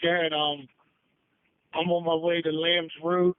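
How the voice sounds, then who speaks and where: A man working on a road crew, checking in over two-way radio in a casual, halting way. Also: fairly high pitch, flat delivery, clean tone, no room sound. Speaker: public works road crew driver. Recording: tinny narrowband radio transmission.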